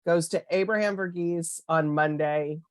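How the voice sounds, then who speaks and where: A woman's voice: fairly low pitch, a little slow, no room sound. A woman from California hosting a casual book-recommendation chat, chatty and teasing, laughing often. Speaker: bookstore host.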